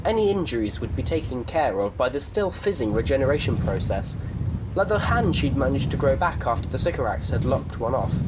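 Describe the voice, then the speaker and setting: A man's voice: mid-range voice, background noise. A man narrating a scripted story for an audio drama in a wry, playful storytelling manner.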